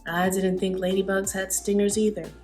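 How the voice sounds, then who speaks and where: A woman's voice: medium pitch, little pitch movement, moderate pace, a little mellow, lightly acted. A puzzled but matter-of-fact male doctor from a storybook, read by a woman. The delivery stays close to everyday talk. Music sits underneath.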